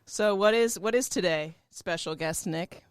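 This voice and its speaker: A woman's voice: on the low side, measured pace, smooth, dry recording, clean studio sound. A woman co-hosting a podcast in casual comic chat, playful, wry and blunt. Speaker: podcast co-host.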